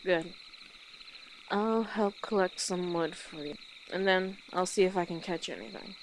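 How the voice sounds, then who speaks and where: A woman playing a small, wry orc traveler in an immersive audio roleplay, gruff but caring, with a dry, hesitant, matter-of-fact manner. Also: lowish voice, unhurried, close to an everyday voice, poor audio. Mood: matter-of-fact, friendly. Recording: clear outdoor nature ambience noise.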